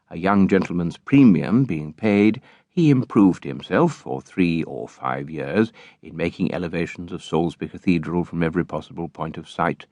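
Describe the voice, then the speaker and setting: Male narrator, low voice, expressive literary reading, animated intonation, dry close recording. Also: no room sound. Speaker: audiobook narrator.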